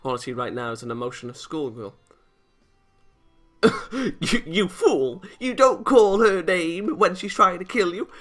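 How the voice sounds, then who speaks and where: Bright-voiced man reading a visual novel aloud. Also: high-pitched, unhurried, faint music behind. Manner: animated, exasperated outbursts. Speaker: let's play host.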